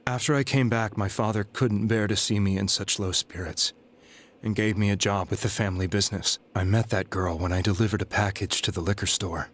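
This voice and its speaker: An actor playing a despondent, guilt-ridden young man in a video game, telling his story in a grave, halting way. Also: on the low side, steady tone, natural voice. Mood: troubled, earnest. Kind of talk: dramatic video game voice acting, confessional monologue. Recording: background music under the voice.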